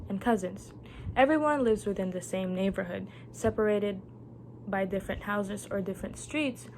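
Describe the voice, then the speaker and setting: Young Filipina giving an earnest speech. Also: female voice, mid-range voice, darkish tone, slight background noise, low-quality recording. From Philippines. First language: Tagalog. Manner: earnest, measured delivery. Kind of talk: prepared speech.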